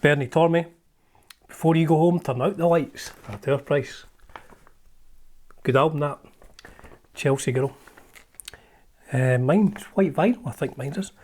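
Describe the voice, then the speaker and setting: A middle-aged Scottish man chats casually as a show's host, wandering from thought to thought with plenty of ums and false starts. His voice sits a little higher than most men's. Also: a little slow, long pauses, a little mellow. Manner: rambling and chatty, with frequent ums and restarts. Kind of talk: casual chat, leafing through an old magazine.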